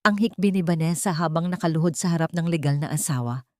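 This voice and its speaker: A woman reads a dramatic audiobook story in a low voice. Her delivery is solemn and deliberate, following a written script. The recording is dry and close, with very little room sound. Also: dry recording. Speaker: audiobook narrator.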